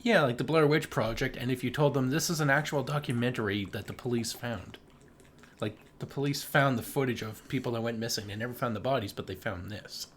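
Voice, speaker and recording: A man's voice: mid-range voice, fairly quick, a bit bright, slight background noise. A man co-hosting a podcast, chatting casually about films with wry, joking asides. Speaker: podcast co-host. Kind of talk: casual film discussion chat.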